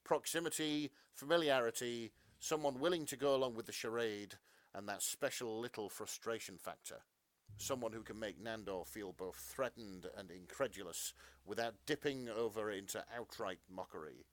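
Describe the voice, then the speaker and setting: A man's voice: fairly low pitch, moderate pace, no room sound. A man co-hosting a podcast, narrating fan fiction melodramatically with casual asides.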